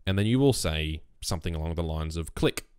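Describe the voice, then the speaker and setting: A young man with a bassy voice explaining his recording setup in a relaxed, rambling way, close on a USB condenser mic on a boom arm. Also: fairly quick, clean tone, no room sound. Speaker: YouTube gaming creator. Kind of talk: casual screen-recorded tutorial.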